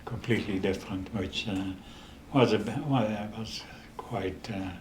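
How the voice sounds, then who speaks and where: A very low-pitched, older man with a Dutch accent recounts his memories slowly, stopping and restarting often. His voice stays flat and even. The room around him is echoey, with faint ambience behind. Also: slight background noise. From the Netherlands. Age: 80s. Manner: halting, with many restarts and pauses. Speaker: interview guest. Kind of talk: storytelling in a casual interview.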